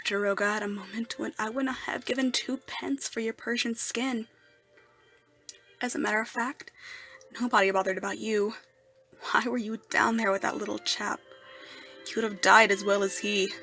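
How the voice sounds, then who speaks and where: A woman performing an amateur dramatic monologue as an anguished, lovesick, masked male outcast near death, in a halting, breathy delivery that moves between hushed murmurs and emotional outbursts, over music. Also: fairly high pitch. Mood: dying, tender, then bitter, insistent.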